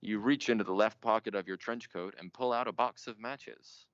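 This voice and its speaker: Man as pompous radio host, low voice, theatrical narration, dull hissy amateur recording. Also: moderate pace, fairly bright tone, lightly acted. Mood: brooding. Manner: theatrical, portentous, grandiose. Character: pompous melodramatic male 1940s radio mystery host. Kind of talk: old-time radio mystery narration. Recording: muffled, noisy amateur stage recording.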